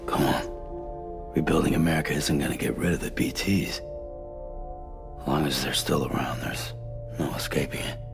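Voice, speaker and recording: An actor playing a gruff, weary courier in a video game, speaking tersely and guardedly with a gravelly voice. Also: male voice, extremely low pitch, fairly even intonation, moderate pace, long pauses, music in the background. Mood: weary, dismissive. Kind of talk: naturalistic video game cutscene acting.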